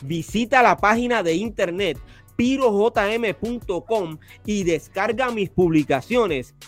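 A man hosting a podcast interview, asking questions slowly with many hesitant fillers. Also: sits high, lively intonation, faint music behind. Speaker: podcast host. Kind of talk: casual interview.

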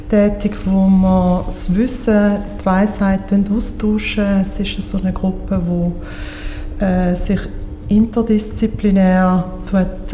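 A middle-aged woman from Barcelona introducing herself on a panel in a lively, rambling way, correcting herself as she goes. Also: medium pitch. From Barcelona, Spain. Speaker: guest, victim support counselor. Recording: echoey hall with faint noise.

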